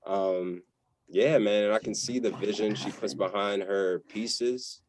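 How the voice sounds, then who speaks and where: A man's voice: lowish voice, steady tone, a little slow, a crowd in the background, poor audio. A man hosting a podcast interview, laid-back and slangy. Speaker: podcast host. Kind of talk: casual interview chat.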